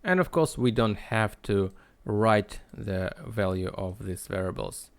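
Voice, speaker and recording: A man narrating a screen-recorded coding tutorial in a halting, explanatory way. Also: fairly low pitch, lively intonation, creaky. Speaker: tutorial host.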